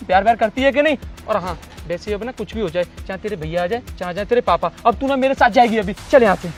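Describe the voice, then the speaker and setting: A man's voice: high-pitched, animated, fast, soft background music. A man acting a clingy, pushy young lovesick boyfriend in an amateur melodramatic skit. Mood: pleading, demanding. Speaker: actor in a moral-story video.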